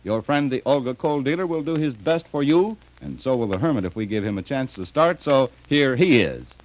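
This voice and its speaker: A man's voice: medium pitch, animated, fairly quick, poor audio. A man announcing in 1930s radio sponsor style, addressing listeners in a folksy way on an old recording.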